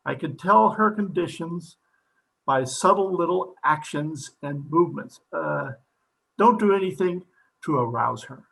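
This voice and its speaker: Pompous, high-pitched doctor with swooping delivery man acting. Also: animated, unhurried, vocal fry, a bit bright, heavily acted, poor audio. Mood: self-important. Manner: pompous, theatrical. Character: pompous, overexcitable male psychiatrist in a radio comedy. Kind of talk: old-time radio comedy acting.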